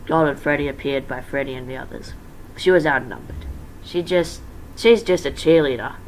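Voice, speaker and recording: A man's voice: mid-range voice, normal speed, low-quality recording. Young man informally narrating a fan story. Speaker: amateur fan-fiction reader. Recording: faint background noise.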